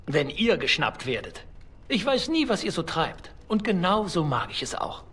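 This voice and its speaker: A performer voicing a money-minded mercenary in a video game, husky, teasing and nonchalant. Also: medium pitch, very animated, moderate pace, fairly bright tone, a little hiss or hum. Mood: teasing, indifferent. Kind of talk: dramatic video game dialogue.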